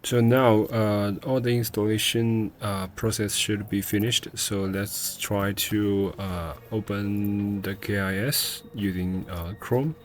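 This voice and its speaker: A man with a fairly low voice walks through a technical procedure step by step. He speaks off the cuff and haltingly, often pausing to find the next word. Faint music plays behind him. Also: steady tone. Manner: hesitant, halting delivery with searching pauses. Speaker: software tutorial presenter. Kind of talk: step-by-step screen tutorial, unscripted.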